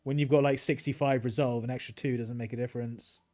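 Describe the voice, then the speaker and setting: A man's voice: medium pitch, fairly even intonation, fast, a little mellow. A British man casually commentating his gameplay on a livestream and chatting with his viewers. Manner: easygoing, chats with viewers while playing. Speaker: streamer.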